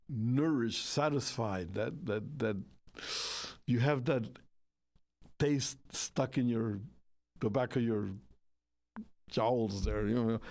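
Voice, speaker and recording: A man with a who talks in halting bursts and keeps restarting his sentences. His voice sits at a middle pitch. The sound is dry and close, with no room echo. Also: darkish tone. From Costa Rica. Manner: halting, searching for words, many restarts. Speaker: talk show co-host. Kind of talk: casual radio talk about food.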